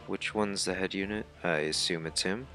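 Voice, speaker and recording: A man's voice: deep voice, normal speed. A man giving casual, laid-back commentary while playing a video game. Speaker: let's-player. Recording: game music behind. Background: video game music.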